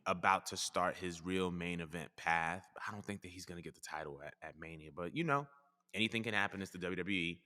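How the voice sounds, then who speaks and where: A man with a low voice and a bright, forceful edge, hosting a casual podcast. He talks in a measured, hedging way and leans on fillers. A long reverb trails behind his words. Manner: measured, hedging, many ums and I-feel-likes. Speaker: podcast host.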